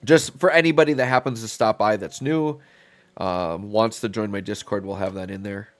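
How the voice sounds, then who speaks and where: A man's voice: on the low side, normal speed. Stuffy-voiced man streaming a game. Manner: relaxed, rambling, thinks aloud with fillers. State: stuffy, getting over a cold. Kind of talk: casual live-stream commentary while playing. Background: faint background music.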